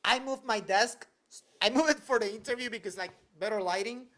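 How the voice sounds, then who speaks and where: A man's voice: high voice, brisk, bright, forceful tone. Joking young man streaming. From Venezuela. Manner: loose and joking, heavy use of "like". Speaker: YouTuber answering live chat. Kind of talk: casual livestream Q&A. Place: home bedroom at his desk.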